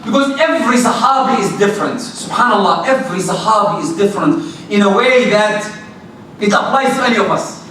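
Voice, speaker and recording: A middle-aged man with a loud voice giving a religious talk to young people, talking to them directly with many rhetorical questions. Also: high voice, lively intonation, brisk. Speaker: lecturer addressing youth. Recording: very echoey hall, distant mic, faint noise.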